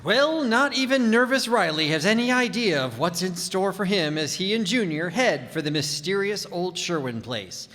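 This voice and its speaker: A folksy, genial 1940s radio announcer, played by a man delivering scripted copy. His slightly high voice swings with lively inflection through a reverberant hall. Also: normal speed, hardly any pauses.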